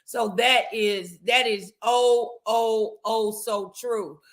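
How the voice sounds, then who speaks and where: An American woman in middle age hosts a motivational talk show. Her voice is bright and forceful, and she takes her time, placing her words deliberately and with emphasis. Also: on the high side, fairly even intonation.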